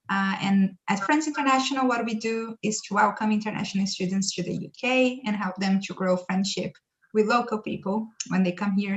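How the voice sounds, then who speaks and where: A woman hosting a casual online chat, welcoming guests with frequent "uh" fillers over a video call. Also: on the high side. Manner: friendly, filler-heavy welcoming. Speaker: host, staff worker. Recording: echoey, slightly dull video call.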